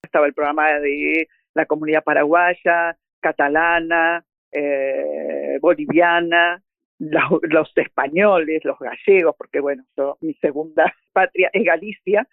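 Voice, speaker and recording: A man's voice: fairly high pitch, steady tone, a little slow, vocal fry, no room sound. A man reminiscing conversationally as an interviewee. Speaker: radio veteran, interviewee. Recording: tinny phone-like line, dry.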